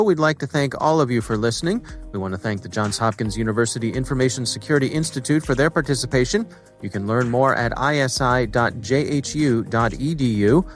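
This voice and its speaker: A man's voice: medium pitch, no room sound. Male podcast host, conversational to scripted, dry close recording with faint music. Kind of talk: conversational hosting, scripted read-outs.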